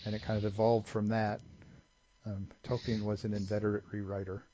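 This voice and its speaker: A man's voice: lowish voice, completely flat delivery, a little fast, mellow. A man co-hosting a casual podcast chat, explaining things at length and wandering into tangents. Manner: rambling, tangent-prone lore explainer. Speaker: podcast co-host. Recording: slightly dull lo-fi audio with faint hiss.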